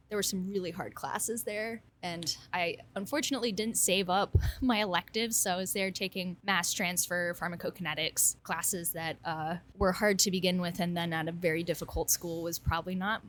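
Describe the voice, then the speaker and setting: Low-voiced woman, casual and self-deprecating. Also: fairly bright tone, slight background noise. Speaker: kombucha brewer and engineering alumna. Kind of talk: conversational interview answers. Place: brewery.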